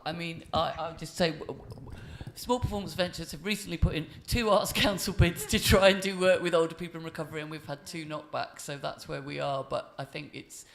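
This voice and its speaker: A man's voice: fairly high pitch, gravelly. A man speaking thoughtfully on a panel, hedging his points. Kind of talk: panel discussion.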